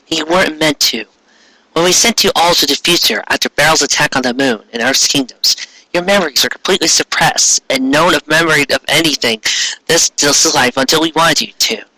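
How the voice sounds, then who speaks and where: A man voicing a solemn, gentle queen with a formal, measured, motherly manner in a fan fiction reading. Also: fairly high pitch, no room sound, poor audio.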